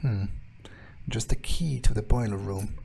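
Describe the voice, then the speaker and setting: A man improvising in-character game commentary as a jaded vampire, thinking aloud in a deadpan, sardonic, musing way. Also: lowish voice, a little slow, vocal fry, noisy background. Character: jaded, unimpressed male vampire muttering his thoughts. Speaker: let's player roleplaying in character.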